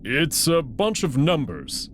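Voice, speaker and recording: A man voicing a nervous, evasive alien wolf in a visual novel, speaking in a hesitant, halting way. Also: on the high side, huge pitch swings, slight background noise, exaggerated voice. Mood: uneasy, evasive. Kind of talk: visual novel character voice acting.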